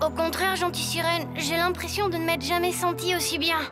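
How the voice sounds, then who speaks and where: Very high, sweet cartoon girl. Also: fairly even intonation, a little fast, music in the background. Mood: charmed. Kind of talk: French cartoon voice acting.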